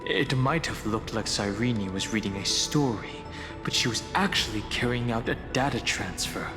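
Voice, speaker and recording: A man playing a calm, analytical, guarded young man in an anime video game, speaking in a measured, serious, protective way. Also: mid-range voice, lightly acted. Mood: pensive, analytical. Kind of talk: English-dubbed anime video game acting. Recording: long hall reverb under clear music.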